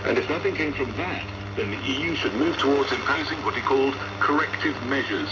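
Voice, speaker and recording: A man giving a scripted news report as a correspondent. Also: mid-range voice. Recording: noisy shortwave radio broadcast.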